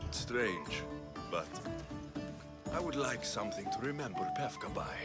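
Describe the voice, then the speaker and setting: An actor playing a wry, confident, battle-hardened mercenary in a video game, with dry sardonic delivery. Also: male voice, mid-range voice, expressive, measured pace, loud music behind the voice. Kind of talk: video game character acting.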